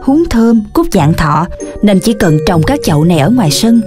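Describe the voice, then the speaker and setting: A woman acting as a gentle cartoon mom who knows her stuff. She explains patiently. Music plays clearly behind her. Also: medium pitch, lively intonation, normal speed, close to an everyday voice. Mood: patient, advising. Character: gentle, knowledgeable cartoon mother teaching her child. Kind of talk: children's cartoon dubbing.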